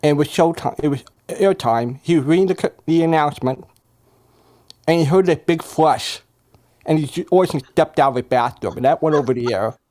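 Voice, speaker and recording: A man on a panel telling anecdotes casually, in short, halting, plain phrases. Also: fairly high pitch, a little mellow.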